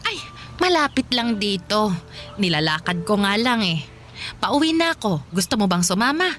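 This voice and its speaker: An actress playing a kind, devout elderly Filipino woman in a radio drama, speaking gently with caring, pitying exclamations. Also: medium pitch, very animated, normal speed. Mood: kindly, inviting. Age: older. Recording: music bed under the voice.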